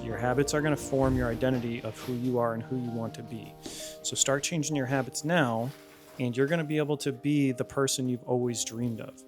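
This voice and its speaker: A man in his thirties, a fitness coach, talking casually and bluntly to camera with plenty of energy and the odd swear word. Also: mid-range voice. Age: 30s. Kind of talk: casual direct-to-camera talk.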